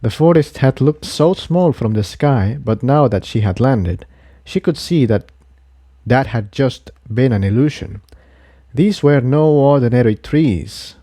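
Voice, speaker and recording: Male storyteller for adventure fiction: unhurried, relaxed, measured delivery, close dry sound. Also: mid-range voice, dry recording. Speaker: audiobook reader of fan fiction. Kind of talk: scripted story narration.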